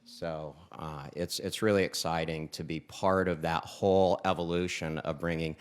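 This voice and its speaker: A man on a conference stage, telling a lively founder's story as a panelist. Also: moderate pace, smooth. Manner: animated storyteller, pitch jumps for emphasis. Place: conference stage before an audience.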